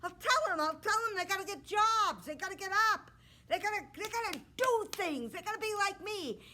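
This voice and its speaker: A woman voicing a boastful, self-absorbed young prince who brags loudly in long lists. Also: extremely high pitch, lively intonation, a little hiss or hum, pitched above the performer's own voice, heavily acted. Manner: loud bragging, rapid boasting lists.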